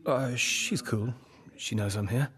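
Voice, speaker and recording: A woman voicing a gentle, low-voiced man. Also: takes it slowly, heavily acted. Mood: reassuring. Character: earnest young man, gentle ex-boyfriend.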